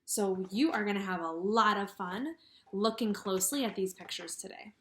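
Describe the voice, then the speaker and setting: A woman kindergarten teacher reading aloud and explaining to young children in an expressive, emphatic way, with questions to the listeners. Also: medium pitch, moderate pace, a little hiss or hum.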